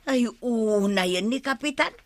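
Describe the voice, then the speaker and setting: A woman's voice: on the high side, lively intonation, a little slow, no room sound. A woman with an Ilocano accent playing a gossipy, complaining village neighbour in a radio comedy drama.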